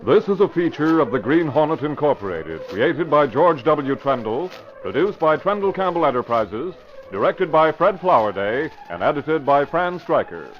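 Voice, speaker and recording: A man reading closing credits in a formal, measured old-time radio announcing style. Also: a little high, very animated. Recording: dull vintage radio broadcast recording.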